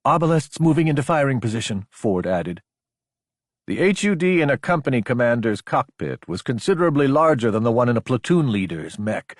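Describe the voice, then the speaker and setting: A man's voice: mid-range voice, big pitch swings, normal speed, no room sound, studio quality. A man narrating a science fiction action audiobook from a script.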